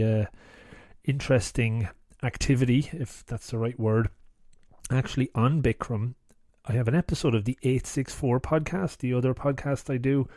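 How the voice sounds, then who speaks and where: Slightly hoarse man, mellow and dry. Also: mid-range voice, no echo. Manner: rambling, chatty, many restarts and asides. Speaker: podcast host. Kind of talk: casual solo podcast intro.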